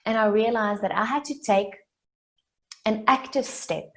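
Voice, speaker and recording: A South African woman in her late twenties, a native Afrikaans speaker, giving an earnest motivational keynote that builds its story through deliberate repetition. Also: a little high. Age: late 20s. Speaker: summit speaker.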